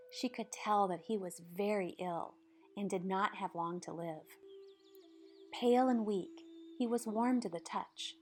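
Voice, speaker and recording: Woman reading a storybook aloud over music. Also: medium pitch. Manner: measured, expressive storytelling with dramatic pauses. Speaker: storybook reader. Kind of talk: read-aloud storybook narration. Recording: music behind the voice. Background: background music.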